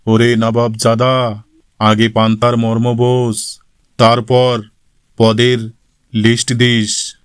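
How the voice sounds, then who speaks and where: A man's voice: mid-range voice, monotone, slow, long pauses, clean tone, no echo, studio quality, natural voice. A man playing a proud, teasing old village grandfather in a comic cartoon, affectionately scolding and instructive.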